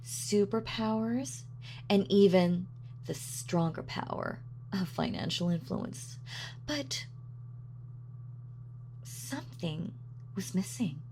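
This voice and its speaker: A woman's voice: medium pitch, sing-song, takes it slowly, long pauses, darkish tone, slight background noise. A woman playing a sadistic, gloating female supervillain in a scripted roleplay audio, taunting and savoring her words theatrically. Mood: smug, reminiscing. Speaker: voice actor.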